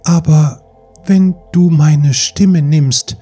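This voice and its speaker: A man voicing a timid yet resolute young mermaid girl in a fairy-tale audiobook, with a raised, breathy, trembling delivery. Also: a little high, drawn-out words, mellow, faint music behind, pitched above the performer's own voice, heavily acted. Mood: fearful, resolute.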